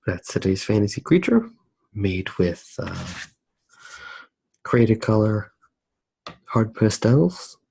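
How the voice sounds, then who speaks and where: Slow, low, creaky ASMR man. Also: poor audio. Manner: soft-spoken, hesitant, pausing mid-sentence. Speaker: ASMR art video host. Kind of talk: ASMR, narrating a drawing.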